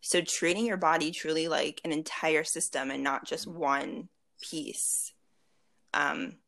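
Woman in her late 20s, low gravelly voice, chatty guest, lots of ums and little laughs. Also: fairly even intonation, slow pace, a bit bright. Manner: chatty, laughs often, many fillers and restarts. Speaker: interview guest. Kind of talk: casual video chat interview.

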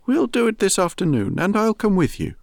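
A slow, earnest teddy bear from a storybook, voiced by a man ponders aloud in a raised, gently lilting voice. He drawls his words solemnly, as if every small puzzle were a great mystery. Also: high voice, sing-song, creaky, no room sound, voice pushed up, heavily acted. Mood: kindly. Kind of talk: storybook character voice.

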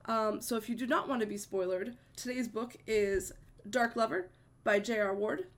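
A woman's voice: a little high, steady tone, moderate pace. A woman hosting a podcast, slightly congested from a cold, chatting with easy enthusiasm. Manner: chatty, enthusiastic, laughs easily. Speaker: podcast host. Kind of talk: casual chat.

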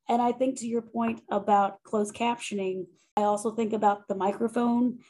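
A woman with a loud voice who talks through her teaching practice in a conversational interview, often pausing, repeating words and checking in with "right?". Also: fairly high pitch, low-quality recording. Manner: thoughtful, self-correcting, frequent "right?" and "um". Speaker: faculty member and monograph author.

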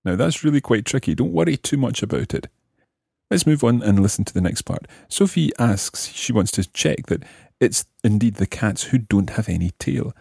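A man's voice: mid-range voice, expressive, moderate pace, creaky, warm tone, breathy edge, dry recording, studio quality. A man hosting a language lesson, explaining grammar methodically and repeating phrases as he teaches. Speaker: language teacher and podcast host.

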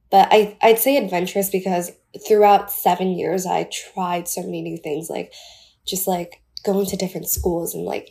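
A woman's voice: on the low side, vocal fry, warm tone. A woman in her twenties from Taiwan, a podcast guest chatting casually with many "like" and "um" fillers. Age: 20s.

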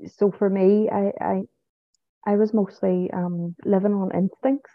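A woman's voice: mid-range voice, flat delivery, measured pace, no room sound. A woman with a Northern Irish accent, a guest chatting casually in an interview, who repeats herself and tags sentences with "you know" and "so it is". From Northern Ireland. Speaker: podcast guest, psychic medium. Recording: tinny phone-like line.

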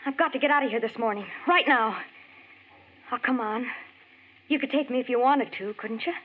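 A woman's voice: on the high side, fairly quick, slight background noise, lightly acted. A woman playing a desperate young woman trapped at a desert gas station, pleading and frantic, in a 1940s radio drama. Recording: tinny old radio broadcast.

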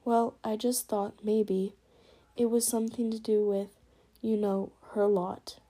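A woman's voice: medium pitch, flat delivery, unhurried, mellow, natural voice. A woman voicing a nervous, fussy middle-aged man who mumbles hesitantly.

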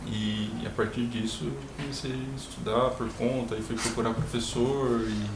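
A man's voice: mid-range voice, steady tone, normal speed. A young adult man, a guest violinist explaining at length in a casual interview. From Brazil, São Paulo state. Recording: roomy with background noise.

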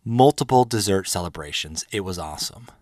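A man in his thirties hosting a podcast, telling his personal story in an earnest, measured, partly scripted monologue with deliberate pauses. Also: low voice, lively intonation, a little mellow, no echo, clean studio sound. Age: 30s. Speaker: podcast host.

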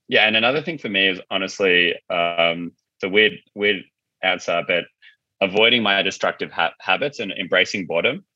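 A man's voice: low voice, bright, forceful tone, no echo, dull audio. A man speaking as an interview guest in a casual, informal way, explaining things as he thinks aloud. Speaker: podcast guest, marketing agency founder.

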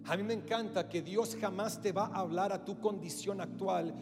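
A man's voice: high-pitched, fairly even intonation, moderate pace, long reverb tail, music in the background. A man from Australia whose first language is English, preaching a sermon with an Australian English accent, emphatic and repetitive, with direct appeals to the listeners.

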